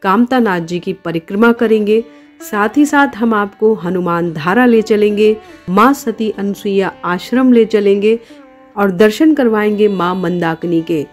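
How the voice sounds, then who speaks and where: A woman's voice: medium pitch, unhurried, faint music behind. Woman narrating a devotional travel vlog.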